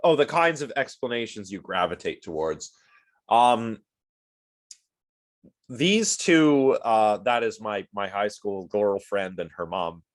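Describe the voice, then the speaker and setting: A man teaching a class from home over a video call, his voice sitting a little low. He is sniffly from allergies and talks loosely, with frequent fillers and restarts as he answers the chat. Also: animated, slow pace. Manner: rambling, with many fillers and restarts. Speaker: lecturer. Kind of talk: casual online lecture, answering chat.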